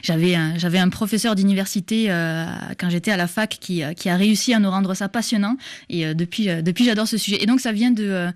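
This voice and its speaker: A woman's voice: medium pitch, quick pace, no room sound, studio quality. A woman in her thirties from southern France, with an accent mixing southern French and Québécois, explaining science as an interview guest and often restarting her sentences with fillers. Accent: southern French mixed with Québécois. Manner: hesitant, many restarts and fillers.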